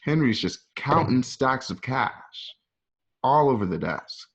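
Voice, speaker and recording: A man's voice: mid-range voice, lively intonation, slow pace, husky, rough recording. A man narrating scene directions informally, with casual conversational asides. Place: at home.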